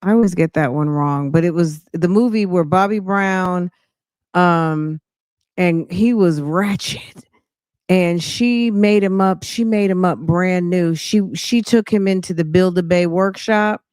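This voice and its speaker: Low-voiced, slow-talking woman, sassy commentary. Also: darkish tone, smooth, no room sound, studio quality. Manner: slangy, sassy, addresses listeners directly. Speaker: podcast host reacting to a recorded call. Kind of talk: casual, gossipy reaction commentary.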